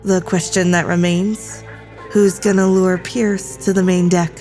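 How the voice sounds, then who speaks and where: An actress playing a frightened but defiant crew member in a sci-fi horror audio drama, with a blunt manner. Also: female voice, on the low side, little pitch movement, a little slow, a little mellow, soft background music, natural voice. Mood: grim, resolute.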